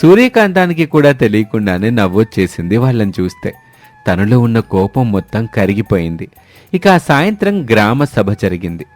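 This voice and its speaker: A man's voice: fairly low pitch, very animated, normal speed, a little mellow. A man narrating a scripted moral story as its storyteller. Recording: dry studio, music behind.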